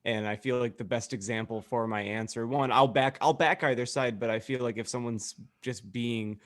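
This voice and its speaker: A man's voice: medium pitch, moderate pace, dry recording, studio quality. A man co-hosting a casual podcast, talking in a wry, teasing, joking way. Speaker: podcast co-host. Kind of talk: casual group banter.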